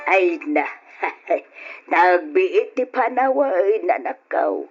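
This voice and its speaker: A woman playing a caring, devout older mother in a radio drama, with a husky voice and an Ilocano accent, speaking with emotion. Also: lowish voice, lively intonation, extremely slow, faint music behind, heavily acted. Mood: concerned, fond. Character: caring, devout older mother comforting her grown daughter. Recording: dull old radio drama recording.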